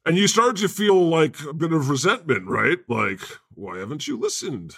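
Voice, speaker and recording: A man's voice: on the high side, very animated, normal speed, vocal fry, fairly bright tone, husky, no echo, clean studio sound. A man hosting a comedy podcast in casual, joking chat with a teasing, wry manner.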